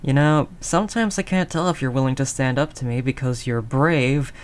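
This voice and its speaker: Guy doing a mafia boss for a roleplay audio, medium pitch, slangy and world-weary but sweet, teasing with pet names. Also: moderate pace, lightly acted. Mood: teasing, fond. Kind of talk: intimate scripted roleplay audio drama monologue.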